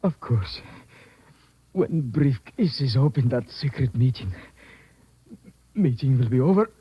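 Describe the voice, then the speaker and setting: A man acting excitable characters in an old radio drama. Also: medium pitch, a little slow, slightly rough, highs cut off. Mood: gloating.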